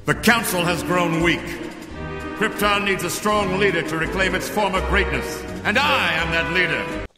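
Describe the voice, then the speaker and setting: An actor playing a power-hungry alien military leader in a cartoon, with grandiose, commanding oratory. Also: male voice, high-pitched, expressive, normal speed. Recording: echoing hall, loud music, cartoon clip.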